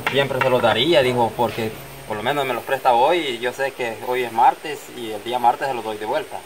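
A man's voice: mid-range voice, fairly quick, lightly acted. Friendly, persuasive rural man, played by a male amateur, middle-aged, repetitive talker, noisy outdoor sound. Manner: rambling, repeats himself and tags phrases. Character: friendly, persuasive countryman asking a friend for a loan. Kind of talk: amateur acted family drama, improvised dialogue. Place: outdoors in a rural yard under trees. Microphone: camera a few metres away. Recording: outdoor recording with clear background noise.

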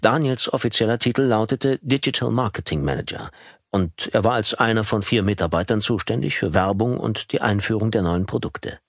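A man reading an audiobook in a calm, measured storytelling manner, close to a studio microphone. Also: lowish voice, no echo. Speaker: audiobook narrator. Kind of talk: scripted audiobook narration.

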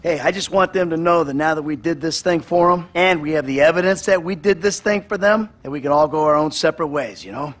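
A man's voice: fairly high pitch, quick pace, hardly any pauses, lightly acted. A man playing a jaded, sardonic middle-aged career criminal in a video game, world-weary, sarcastic and profane. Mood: brisk, dry. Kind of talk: video game cutscene dialogue.

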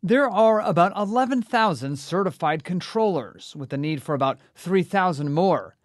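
A man's voice: high voice, animated, normal speed, no echo, studio quality. A man with an American accent delivering scripted television news narration as a reporter.

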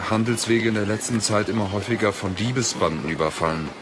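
A man playing a calm, mysterious young mage who speaks politely and formally in a fantasy audio drama. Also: on the low side, fast, background noise, natural voice. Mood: calm, grave.

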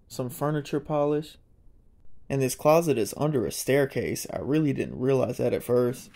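A young man, narrating a casual, excited walkthrough of an abandoned house. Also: mid-range voice, moderate pace, vocal fry. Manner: excited, marveling, chatty. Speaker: urban explorer vlogger. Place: inside an abandoned old house.

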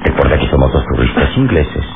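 An actor playing a commanding adventure hero and mentor in an old radio drama, authoritative and theatrical. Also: male voice, extremely low pitch, sing-song, a little fast, phone-quality sound, background noise, full character voice. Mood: calm, commanding. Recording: thin vintage radio sound.

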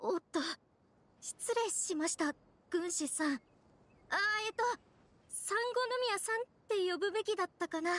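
Bubbly anime game guide girl, super high, polite and eager. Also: normal speed, pauses often. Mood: flustered. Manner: polite, chatty, eager to explain. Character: bubbly, apologetic, helpful young girl guide. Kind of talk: Japanese anime game voice acting.

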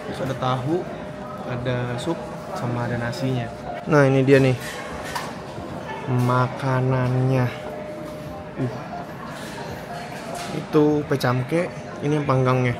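A man's voice: medium pitch, little pitch movement, slow pace, pauses often, music in the background. A young man, chatting to a handheld camera as he eats, travels and shows off a hotel room. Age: young adult. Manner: chatty, talking to camera while walking. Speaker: vlogger. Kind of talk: casual travel vlog chat. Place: airport food court, car, small hotel room. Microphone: handheld camera at arm's length.

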